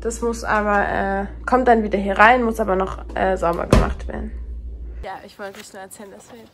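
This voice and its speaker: A woman's voice: medium pitch, fairly even intonation, fairly quick, over music. A young woman in her twenties chatting casually to her phone camera in a home kitchen, rambling with many fillers. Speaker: vlogger. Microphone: phone camera built-in mic. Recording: noisy phone recording.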